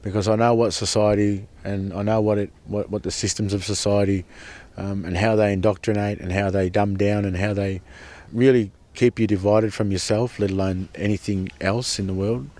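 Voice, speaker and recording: Guy, really low voice, earnest and passionate, keeps restarting words. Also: flat delivery, normal speed, few pauses, a little mellow. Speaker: protester at a sacred site camp. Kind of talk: impassioned personal testimony.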